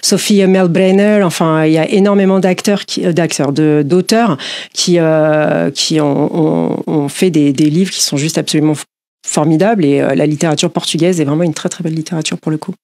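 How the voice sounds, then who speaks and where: A woman in her forties answering interview questions in a friendly way, often pausing on fillers as she searches for words. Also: deep voice, steady tone, darkish tone. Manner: hesitant, many fillers, friendly. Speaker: guest.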